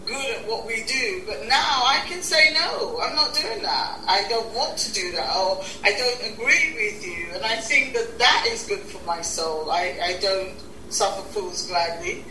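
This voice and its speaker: A bright-toned woman in her sixties, originally from Guyana, talking through her life in an unhurried, reflective way. She tends to repeat a word or two before getting a thought going. The recording is roomy and a little distant, with faint background sound. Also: medium pitch, lively intonation, a little hiss or hum. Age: 60s. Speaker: talk show host, interview guest. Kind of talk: reflective interview talk.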